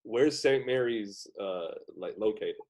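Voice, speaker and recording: Host, man in his twenties, warm low voice, easygoing interviewer on a home webcam. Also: takes it slowly, a little hiss or hum. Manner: casual and friendly, short questions. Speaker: podcast host. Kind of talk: casual interview podcast. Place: room at home, indoors. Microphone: webcam video call.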